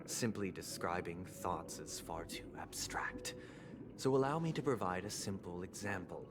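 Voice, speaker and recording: A man playing a solemn, zealous young idealist in a video game, giving measured, grandiose philosophical speeches. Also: low voice, animated, noisy background. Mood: calm, explanatory. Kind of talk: scripted video game voice acting. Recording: music behind the voice. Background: game music.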